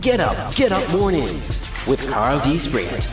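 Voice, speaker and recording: A man's voice: mid-range voice, wildly swooping pitch, a little slow. A man announcer reading a scripted promotional radio spot. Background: music.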